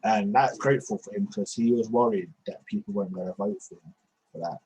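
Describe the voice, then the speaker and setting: A man's voice: fairly low pitch, brisk, darkish tone, husky, poor audio. A man, hosting a podcast over a video call from a small bedroom on a headset, rambling with many restarts. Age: 20s. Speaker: podcast host. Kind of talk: casual video-call chat.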